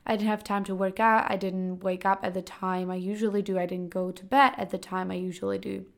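A young woman in her late teens talks through a solo podcast episode. Her voice is raspy and hoarse from a cold. She rambles casually, with plenty of fillers. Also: medium pitch, level tone, brisk. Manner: chatty, loose, full of "like" and "kinda". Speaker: podcast host.

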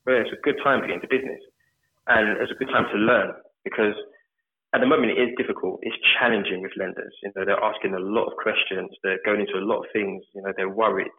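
A man from England with a London accent, a mortgage advisor answering interview questions in a relaxed way, chaining thoughts with lots of fillers. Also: on the low side, quick pace. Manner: relaxed, talks in long run-on chains with fillers. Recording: tinny phone-like line.

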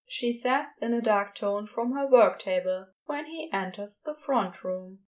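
A woman's voice: mid-range voice, measured pace, a little mellow. A woman narrating scripted fiction as the storyteller of an audio drama.

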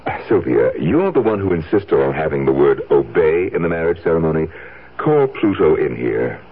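An actor playing an evasive, uneasy husband in a 1970s radio drama, speaking hesitantly with stammers over a tinny old radio recording. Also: male voice, rumbling low, sing-song, moderate pace, breathy edge, exaggerated voice. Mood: insistent. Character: evasive, uneasy husband who married for money.

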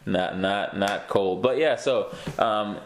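A man's voice: fairly low pitch, slow pace, reverberant, a little hiss or hum. A young man from Haiti, a guest in a casual interview, talking easily with many fillers and frequent laughs.

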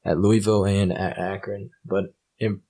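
Young male athlete guest, slightly low husky voice, loose casual chat. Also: normal speed. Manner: relaxed, swearing, animated when retelling. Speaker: podcast guest, professional soccer player.

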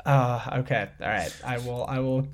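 A man co-hosting a podcast, chatting casually about football and often thinking aloud in informal, halting phrases. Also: mid-range voice, fairly even intonation, background noise. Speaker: podcast co-host.

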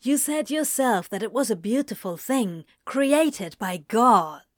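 A woman's voice: fairly high pitch, expressive, a little slow, dry recording, clean studio sound. A woman voicing a casual, teasing male demon who coaxes and tempts, in a story reading. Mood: coaxing.

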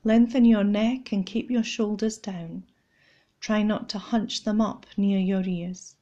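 Slow, mellow woman guiding a meditation. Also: medium pitch, fairly even intonation, highs cut off. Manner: gentle, measured, instructional. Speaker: guided meditation leader.

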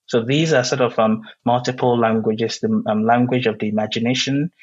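Nigerian male poet, Igbo native speaker, slightly husky, halting and thoughtful, dull lo-fi sound. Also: medium pitch, fairly even intonation. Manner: halting, many "um"s, searching for words. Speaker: poet, interview guest. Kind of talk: reflective interview conversation.

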